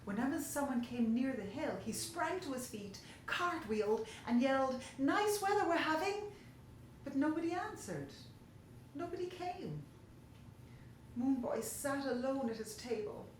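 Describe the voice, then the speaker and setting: Woman children's story reader, unhurried and animated, with big swoops in pitch, recorded in a very reverberant room. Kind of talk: expressive children's story narration. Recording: very echoey room, faint hiss.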